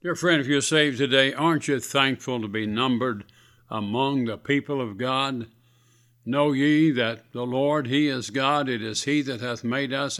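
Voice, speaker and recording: A man's voice: medium pitch, a bit bright. A man with a scratchy voice, preaching earnestly on a radio sermon broadcast in a folksy, exhorting way.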